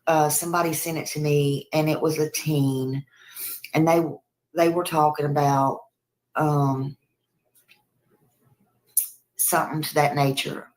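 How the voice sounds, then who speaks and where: A woman's voice: deep voice, steady tone, a little slow, pauses often, darkish tone, rough recording. A woman livestreamer chatting casually with viewers, rambling and repeating herself.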